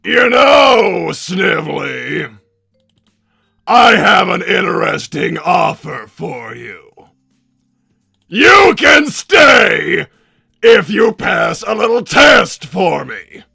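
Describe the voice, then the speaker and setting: Egomaniacal cartoon mad scientist, theatrical, forceful, gravelly, booming, scheming uncle. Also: male voice, sing-song, dry recording, clean studio sound, soft background music, exaggerated voice. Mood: scheming, gloating. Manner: theatrical, booming, drawn-out shouting. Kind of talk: improvised character livestream Q&A.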